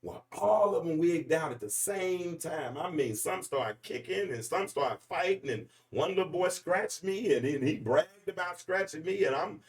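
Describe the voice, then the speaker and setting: A man in his forties talks off the cuff, his voice sitting a little high for a man. He preaches emphatically, restarting sentences as his thoughts pile up. The mic is a bit far from him. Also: expressive, normal speed, few pauses. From Arkansas, US. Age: 40s. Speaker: podcast host. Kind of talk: freestyle commentary monologue.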